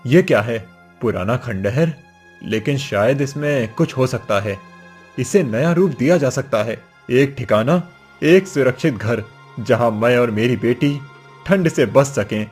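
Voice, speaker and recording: A man's voice: mid-range voice, animated, a little slow, darkish tone, natural voice. A man playing a poor, determined village father in a cartoon story, speaking in an earnest, declaiming way. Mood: resolute. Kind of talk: cartoon story character acting. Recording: music behind the voice.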